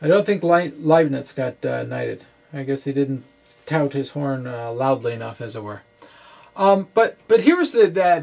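Higher-pitched man explaining physics haltingly. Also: creaky. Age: middle-aged. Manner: halting, wry and quirky, with playful asides. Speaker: physics blogger and presenter. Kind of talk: informal explanatory physics monologue to camera. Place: small indoor room with bookshelves. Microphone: microphone on a stand in front of him.

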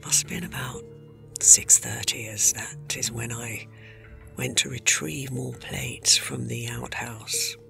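An actor playing a discreet, anxious household servant in an audio drama, a breathy creaky voice and a proper, deferential manner. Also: medium pitch, fairly even intonation, takes it slowly, in a whisper, over music. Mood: hushed, confiding.

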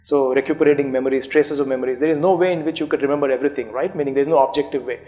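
Indian man, middle-aged, native Urdu speaker, academic author, halting and reflective, handheld mic, seminar room. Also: medium pitch, fairly even intonation, mellow, highs cut off. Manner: thoughtful, many fillers and restarts. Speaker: author being interviewed. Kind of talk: academic conversation. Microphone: handheld microphone.

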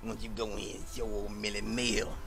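A man's voice: lowish voice, flat delivery, fast talker, creaky, over music. A man playing a sleazy Southern backyard mechanic. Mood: leering. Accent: Memphis Southern. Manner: slick drawling, rambling, sly. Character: sleazy flirtatious middle-aged male backyard mechanic. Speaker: comedy skit actor. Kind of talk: improvised comedy skit. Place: outdoors in a suburban driveway.

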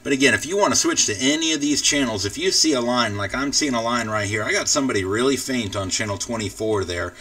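An American man giving a casual, informal software tutorial as he explains things step by step. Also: medium pitch, fast, hardly any pauses, no echo, far from the mic. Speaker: tutorial presenter, radio hobbyist. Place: indoors at a computer.

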